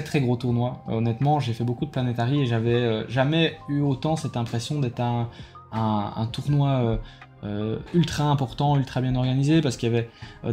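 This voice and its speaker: A Belgian man talks casually to his viewers in a mellow, warm voice, pitched in the middle for a man. He sounds tired and rambles, with many hesitations and restarts, while he heaps enthusiastic thanks on people. Faint music plays behind him. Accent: Belgian French. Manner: rambling, full of fillers and restarts, enthusiastic praise. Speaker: card game YouTuber. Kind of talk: casual spoken debrief to viewers.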